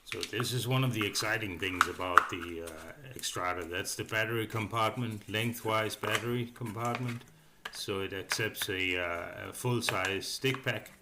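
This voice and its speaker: A man's voice: fairly low pitch, a little slow, heavy background noise. A man giving a casual, unscripted voice-over review as a hobbyist, thinking aloud in a hesitant, rambling way. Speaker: hobbyist reviewer narrating a build. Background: background music.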